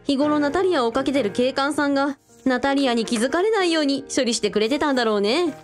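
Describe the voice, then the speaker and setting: A woman's voice: high voice, quick pace, faint music behind, natural voice. A woman playing a bossy, curious big sister in a radio drama, blunt and teasing.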